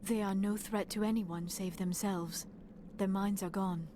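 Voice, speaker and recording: A woman voicing a calm alien squadmate from a video game. She speaks in a measured, formal way at a medium pitch, with clear noise behind her. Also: lightly acted. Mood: solemn.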